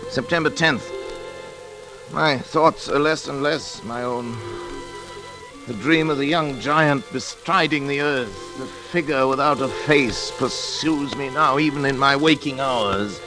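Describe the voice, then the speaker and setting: A male actor plays a haunted, middle-aged scientist in an old radio play. His mid-range voice broods and hesitates with theatrical dread, and dramatic music sits clearly behind it. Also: medium pitch, slow pace, lightly acted. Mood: brooding dread. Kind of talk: 1940s radio acting.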